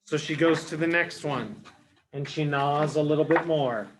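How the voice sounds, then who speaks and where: A man telling a story to young children as a teacher, explaining things simply with dramatic pauses. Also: fairly high pitch, background noise. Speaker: storyteller, early education teacher.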